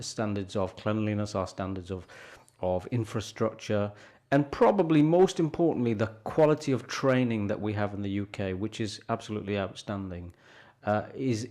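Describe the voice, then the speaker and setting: A middle-aged man, a hospital consultant, explaining with measured good humour in a radio interview. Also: lowish voice, a little mellow. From born in London, grew up in North India. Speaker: hospital consultant doctor, guest.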